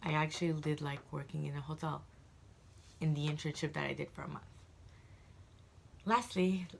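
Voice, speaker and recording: A woman's voice: low-pitched, fairly even intonation, moderate pace, creaky. A woman in her twenties from Egypt, chatting casually to camera as a vlogger, rambling with frequent fillers and restarts.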